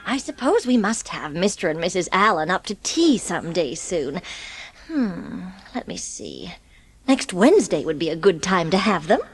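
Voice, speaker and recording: No-nonsense older female guardian, stern, brisk, expressive range, for audiobook drama. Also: lowish voice, husky, long reverb tail, faint music behind, heavily acted. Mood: brisk, musing.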